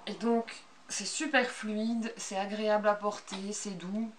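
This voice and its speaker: A woman's voice: medium pitch, steady tone, a little slow. A woman in her thirties with a Belgian French accent, giving a casual, unscripted, chatty show-and-tell as a sewing vlogger. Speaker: sewing vlogger presenting handmade clothes. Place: small bright indoor sewing room. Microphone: camera a couple of metres away. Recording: distant camera mic with background noise.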